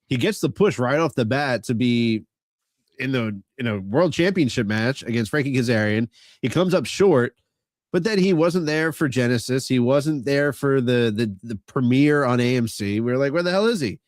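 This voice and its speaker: A man co-hosting a podcast, nasal and stuffy from a cold. His pitch sits mid-range and he speaks with emphatic enthusiasm, recorded dry and close. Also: expressive, normal speed, dry recording. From Long Island, New York. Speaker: podcast co-host. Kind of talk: casual wrestling podcast chat.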